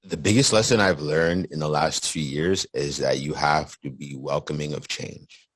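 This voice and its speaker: Deep-voiced man from Nigeria, Yoruba native. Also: moderate pace, no room sound. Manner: animated, repeats words, rising excitement on sports talk. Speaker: podcast guest, life insurance agent. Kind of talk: casual conversation.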